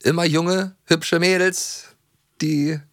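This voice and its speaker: A man's voice: on the high side, fairly even intonation, takes it slowly, fairly bright tone, no room sound, clean studio sound. A man chatting casually as a podcast co-host in a playful, joking way. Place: podcast studio. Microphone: close studio microphone.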